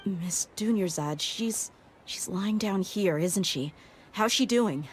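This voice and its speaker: A woman acts out a tough young female mercenary in a video game. The voice sits at a middle pitch and speaks plainly, wary but loyal. A faint noise runs behind it. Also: takes it slowly, natural voice. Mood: worried. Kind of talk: English video game voice acting.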